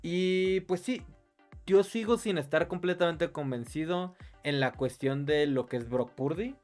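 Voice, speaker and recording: A man's voice: a little high, a little slow, darkish tone, faint music behind. A man with a Mexican Spanish accent co-hosting a sports podcast, giving casual, opinionated football analysis.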